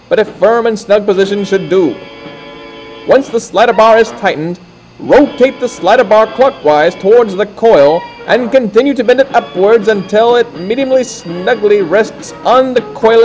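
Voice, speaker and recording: A man narrating a scripted how-to film, giving step-by-step instructions in a deliberate, folksy way. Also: sits high, expressive, darkish tone, poor audio. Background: instrumental music.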